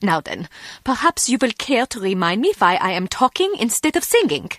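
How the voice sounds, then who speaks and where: A woman's voice: medium pitch, very animated, normal speed, fairly bright tone, dry recording, studio quality. A woman playing a vain, menacing club singer in a video game, speaking theatrically and condescendingly with a German accent. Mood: grandiose, aggrieved.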